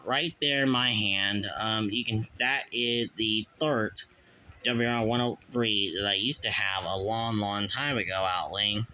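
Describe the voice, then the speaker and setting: A man hosting his own channel, showing his collection in a halting, rambling way, full of restarts and fillers. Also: lowish voice, sharp tone, clear, resonant tone, rough recording. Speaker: channel host. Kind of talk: casual collection show-and-tell.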